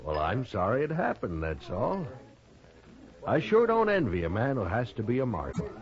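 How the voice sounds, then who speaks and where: A sly American gambler villain, drawls with smug false friendliness, his mid-pitched voice swinging up and down. It has the dull sound of an old radio western. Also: huge pitch swings, moderate pace. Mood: oily, taunting. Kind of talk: 1950s radio western acting. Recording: dull vintage radio recording.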